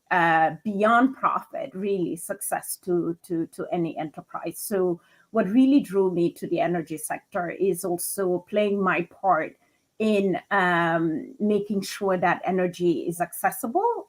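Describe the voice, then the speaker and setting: Measured woman from Senegal. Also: lowish voice, low-quality recording. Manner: measured, structured, counting off points. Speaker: guest, chief people officer. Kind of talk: interview answers.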